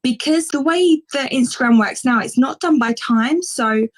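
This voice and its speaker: A woman with a slightly croaky voice on a video call, upbeat and chatty as she explains tips step by step. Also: high voice, moderate pace, dry recording. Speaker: guest speaker. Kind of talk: giving social media tips on a call.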